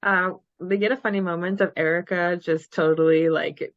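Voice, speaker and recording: A woman's voice: lowish voice, steady tone, normal speed, muffled recording, slight background noise. A woman co-hosting a podcast in casual, chatty talk, prone to giggling. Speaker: podcast co-host. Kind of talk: casual chat about a fan fiction.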